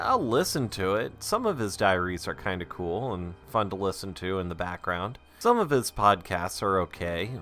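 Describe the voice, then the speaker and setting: Casting: male creator for an audio diary, low but bright, forceful voice, conversational and self-deprecating, music behind. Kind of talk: casual rambling audio log.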